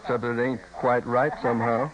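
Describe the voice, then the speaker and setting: A man's voice: medium pitch, little pitch movement, a little fast, noisy background, natural voice. A man playing a plainspoken ranch foreman with a folksy American Western drawl, in 1950s radio western style. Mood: puzzled, troubled. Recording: old radio, crowd murmur behind. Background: saloon crowd.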